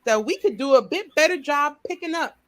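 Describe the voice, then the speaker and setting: A woman's voice: sits high, lively intonation, brisk. A woman speaking earnestly as a panelist, speeding up when she gets stirred. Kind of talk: panel discussion.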